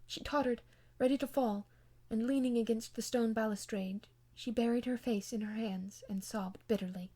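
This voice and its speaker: A woman's voice: on the high side, steady tone, moderate pace, dark tone. Woman narrating a classic novel expressively. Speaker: amateur audiobook reader.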